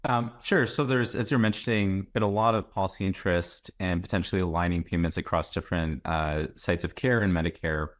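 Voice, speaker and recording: Man, very low voice, dry close sound, methodical policy explainer, video call. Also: a little fast, hardly any pauses, no echo. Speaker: health policy researcher.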